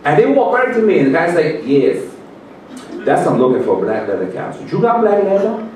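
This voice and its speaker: A man's voice: on the high side, very animated, fairly quick, a little hiss or hum. A man raised in Queens doing a brash, profane stand-up set at a comedy club, working the crowd between bits. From Russia, raised in Queens, New York. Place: comedy club, live audience. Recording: echoey club, distant mic, loud laughing crowd.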